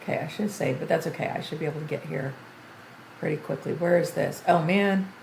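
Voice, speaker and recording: An older woman streaming a video game tutorial, chatty and thinking aloud as she plays, her voice going a bit hoarse. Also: low-pitched, brisk, darkish tone, roomy sound, a little hiss or hum, low-quality recording. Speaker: gaming streamer. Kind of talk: game tutorial livestream commentary.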